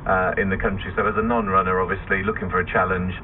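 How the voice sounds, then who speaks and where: A man's voice: sits low, level tone, fast. A man, giving casual, self-deprecating answers as a radio interview guest. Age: 40s. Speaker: interview guest, endurance runner. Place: radio studio. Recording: distant, phone-like radio recording, faint noise.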